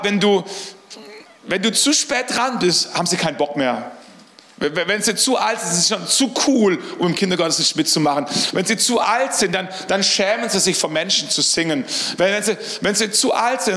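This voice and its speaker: A man's voice: high-pitched, a bit bright, echoey room. A middle-aged man preaching a sermon as pastor, earnest, often repeating words as he searches for the phrasing.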